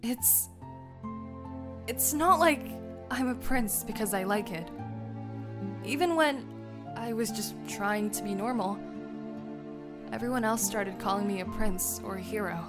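A woman's voice: medium pitch, a little slow, pauses often, close to an everyday voice. A young woman acting an earnest tomboyish teenage girl in a manga fandub, with inner monologue. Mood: awkward, sincere. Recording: roomy voice with music behind.

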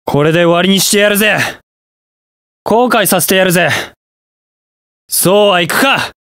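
A man's voice: high-pitched, moderate pace, fairly bright tone, clean tone, no echo. A young man voicing a cocky, aloof masked rider hero in a video game, with a cool, dry, self-assured delivery. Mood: fierce battle cries. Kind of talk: game voice lines.

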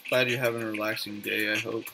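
Loud background music, young man, creaky voice, unhurried, casual game stream chat, friendly, laid-back, home bedroom. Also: lowish voice, little pitch movement. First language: English. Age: young adult. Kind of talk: casual live stream chat while gaming. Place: small bedroom at home, indoors. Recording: music playing behind the voice.

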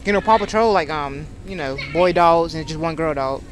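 A woman's voice: low voice, moderate pace. A woman vlogging casually while shopping, talking with lots of ums and hesitations. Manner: chatty, hesitant with many ums. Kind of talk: casual family vlog chat. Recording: noisy phone recording.

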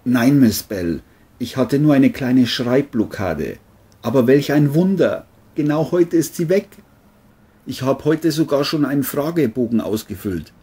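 A man playing a crime novelist in an amateur radio play, speaking in a formal, deliberate manner. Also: mid-range voice, lively intonation, mellow, slight background noise, close to an everyday voice. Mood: casual, obliging. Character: boastful male bestselling crime novelist. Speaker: writer and narrator of his novel.

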